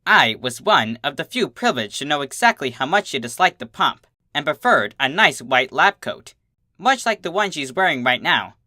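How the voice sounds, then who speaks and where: A narrator giving an expressive first-person reading of a comic fan story. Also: mid-range voice, moderate pace, dry recording, clean studio sound.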